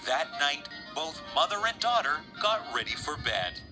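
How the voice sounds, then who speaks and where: A man's voice: on the high side, big pitch swings, moderate pace, music in the background. A man narrating a children's fairy tale as a scripted storyteller.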